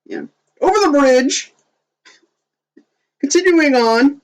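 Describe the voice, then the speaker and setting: A game master narrating a tabletop game in a slow, hesitant way, full of fillers and pauses. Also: sits high, animated.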